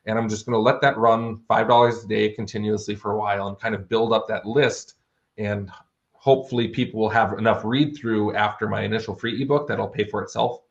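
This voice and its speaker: A man's voice: fairly low pitch, fairly even intonation, fairly quick, gravelly, dull audio. A man chatting casually as an interview guest, explaining things in an informal, conversational way. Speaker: interview guest, fantasy author.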